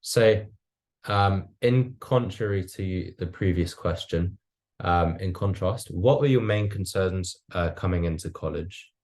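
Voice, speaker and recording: A man's voice: low voice, unhurried, darkish tone. A young man working through a semi-scripted interview as a student interviewer. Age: young adult.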